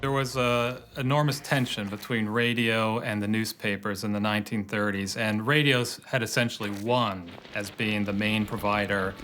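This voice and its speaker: A man's voice: on the low side, fairly even intonation, moderate pace, hardly any pauses, fairly bright tone, faint music behind. A man giving analytical commentary in a documentary interview.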